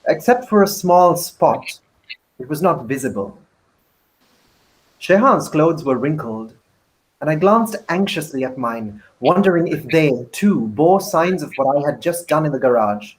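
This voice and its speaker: A male actor gives a dramatic reading of a first-person novel. His voice sits a little high for a man, and he narrates in a measured, deliberate way. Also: lively intonation, poor audio.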